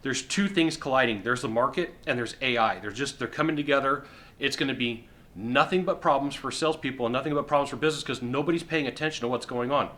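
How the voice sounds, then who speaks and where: A middle-aged man giving sales advice straight to the camera from a sofa indoors, emphatic and repetitive, with quick acted-out asides. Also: mid-range voice, pushed and bright. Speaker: sales coach. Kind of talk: direct-to-camera advice talk. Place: indoor living room on a sofa.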